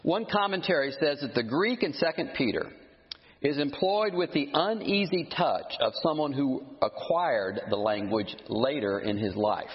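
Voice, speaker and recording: A man preaching a sermon, emphatic and animated, scornful of his critics, with frequent restarts. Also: mid-range voice. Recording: muffled sound with long hall reverb.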